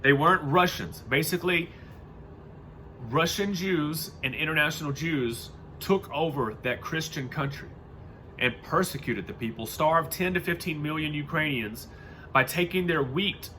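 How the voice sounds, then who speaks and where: A man's voice: fairly high pitch, a little slow, pushed and bright, slight background noise. An American man reading aloud and adding casual, rambling, informal commentary in a home-made recording. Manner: rambling, informal, loses his thread. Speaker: reader and commentator.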